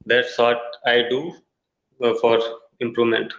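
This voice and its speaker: A man from India with an Indian English accent, a Hindi native speaker learning English, talking haltingly in an online tutoring conversation over a video call. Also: mid-range voice, flat delivery, fairly bright tone, slight background noise. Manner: halting, searching for words with many uh fillers. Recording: echoey, dull video-call audio.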